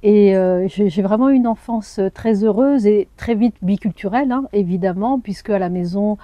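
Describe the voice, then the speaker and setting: A French woman in her forties, a journalist and anthropologist, telling her life story in an interview with thoughtful pauses and hesitations. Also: medium pitch, dark tone, no echo. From France. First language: Arabic. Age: 40s. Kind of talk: personal interview.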